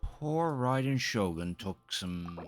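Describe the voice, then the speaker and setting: A man with a low voice comments casually on a game he is streaming live. Game music plays clearly behind him. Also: expressive, slow pace.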